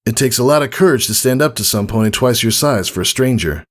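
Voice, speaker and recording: A man's voice: medium pitch, expressive, fast, voice pushed up, close to an everyday voice. A man voicing a kindly, composed father pony in a story reading. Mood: warm, proud.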